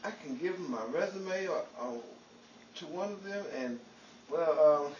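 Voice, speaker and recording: A man's voice: on the high side, lively intonation, measured pace, a little mellow, muffled recording. A man playing Dennis, a cocky, touchy, scheming and self-important showbiz hopeful in 1940s radio comedy style. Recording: echoey room, distant mic, faint noise.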